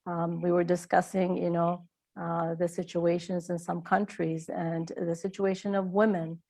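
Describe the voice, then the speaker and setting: A woman's voice: lowish voice, little pitch movement, a little slow, vocal fry, a little mellow. A middle-aged woman from Iraq, an author answering interview questions in a reflective way with frequent hesitations.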